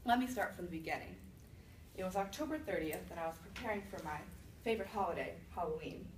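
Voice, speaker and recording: Woman acting as indignant old widow telling her side of a fairy tale, chatty n halting, far from the mic w faint noise. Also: lowish voice, reverberant, close to an everyday voice. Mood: explaining, aggrieved. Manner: conversational, self-justifying, with halting restarts. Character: indignant old widow accused of being a witch. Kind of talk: first-person storytelling monologue.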